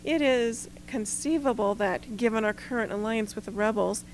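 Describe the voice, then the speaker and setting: A woman's voice: a little high, normal speed, slight background noise, lightly acted, rough recording. A woman playing a cool, calculating leader who speaks formally and bureaucratically in an amateur radio drama. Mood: composed.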